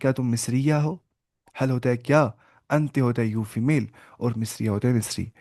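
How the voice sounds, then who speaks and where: A man of middle pitch teaching a class over a video call. He speaks patiently and repeats things, slowing down to sound out each example phrase. The sound is dry and close, with no room echo. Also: steady tone, mellow. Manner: patient, repetitive explaining. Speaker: Arabic teacher. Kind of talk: online class lecture.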